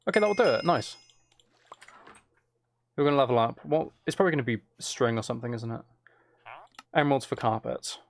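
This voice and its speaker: A man's voice: medium pitch, expressive, brisk, gravelly, pauses often. A man live streaming, giving casual gameplay commentary and musing aloud as he chats to viewers.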